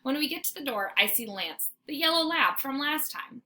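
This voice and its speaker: Woman, bright forceful voice, slightly high, dramatic children's read-aloud, faint background noise. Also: normal speed. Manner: dramatic, animated storytelling. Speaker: teacher reading aloud to students. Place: informal homemade recording.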